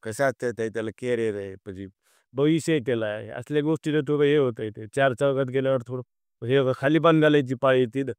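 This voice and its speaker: A man's voice: mid-range voice, brisk, smooth, no echo. A man, mediating informally on a recorded phone call in a placating, noncommittal way. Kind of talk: informal private phone conversation.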